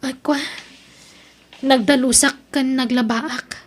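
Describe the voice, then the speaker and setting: A woman's voice: high-pitched, steady tone, slow. A woman with an Ilocano accent acting in an audio drama as a young student, an evasive and defiant girlfriend. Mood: evasive, explaining.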